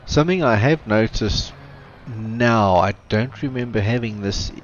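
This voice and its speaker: South African male streamer, lowish voice, rambling and self-correcting, muffled mic. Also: measured pace, soft background music. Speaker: streamer host. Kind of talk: casual gaming chat. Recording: dull lo-fi stream mic with faint hiss.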